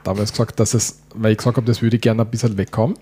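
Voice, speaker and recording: Austrian man, rolling R, dark mellow voice, husky, casual podcast chat. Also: fairly low pitch, level tone, very fast. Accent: Austrian German. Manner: rolling R, Austrian dialect drifting in. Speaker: podcast co-host.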